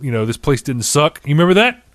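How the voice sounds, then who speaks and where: A man's voice: on the high side, sing-song, fast, fairly bright tone, dry recording, studio quality. A man in his thirties hosting a casual podcast, chatting and ranting in a profane, opinionated way. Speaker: podcast host. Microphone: studio condenser microphone on table.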